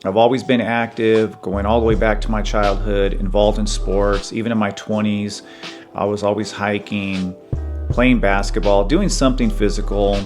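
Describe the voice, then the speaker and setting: A man in his fifties hosting a podcast, talking earnestly and in a measured, conversational way about his own life. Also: lowish voice, level tone, a little mellow, faint music behind. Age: 50s. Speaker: podcast host. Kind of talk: personal reflective monologue.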